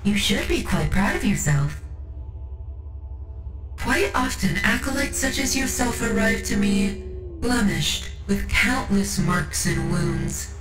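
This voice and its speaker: A woman performs a female tech priest from a machine cult, composed and coolly superior, in an ASMR audio roleplay. She speaks very deliberately in a mid-pitched voice, with formal, measured phrasing. The sound is roomy and echoing, with music underneath. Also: expressive, recorded from a distance. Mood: admiring, faintly menacing. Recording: roomy echo over background music.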